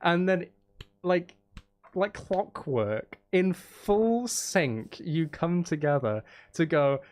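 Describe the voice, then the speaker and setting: A man's voice: on the high side, lively intonation, takes it slowly, darkish tone. A man live streaming in a casual, chatty way, with dry, teasing humour while he plays a game.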